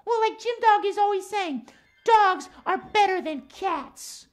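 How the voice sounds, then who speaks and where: A man voicing an earnest, principled female cat puppet for a children's show, with a halting, repetitive way of talking. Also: extremely high pitch, lively intonation, voice pushed up. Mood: indignant.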